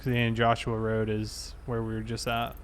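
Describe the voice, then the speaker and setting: A man's voice: fairly low pitch, monotone, a little slow. Man roleplaying police, noisy vehicle background. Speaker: player roleplaying a police officer in an online game. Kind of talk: casual in-game roleplay chat and radio calls.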